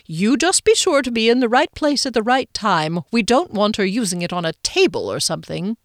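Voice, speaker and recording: A pompous gentleman schemer, put on by a woman reader, full of old-fashioned airs and brisk exasperation. His voice rides up and down with lively emphasis, heard dry and close. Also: mid-range voice, no room sound, voice pushed up. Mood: scheming.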